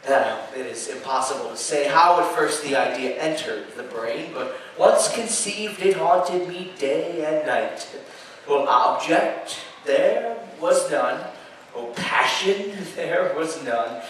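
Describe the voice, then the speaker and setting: A gothic madman on stage, a nervous murderer who gloats over his own cunning. His fairly high voice moves slowly through halting, stammered phrases with sudden emphasis. It is heard from a distance in a very echoey space. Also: animated, a bit bright. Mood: brooding, obsessed. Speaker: stage actor performing a dramatic reading. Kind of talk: theatrical gothic horror monologue. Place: live performance space at an amusement park show. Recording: echoey live show, distant mic, faint noise.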